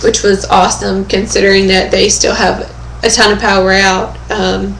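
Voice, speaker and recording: A young woman with a hoarse, fading voice, chatting casually in a webcam update from home and often pausing with fillers and throat clearing. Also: medium pitch, little pitch movement, a bit bright, a little hiss or hum. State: hoarse, losing her voice. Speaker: vlogger. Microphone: webcam microphone. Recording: echoey room on a webcam.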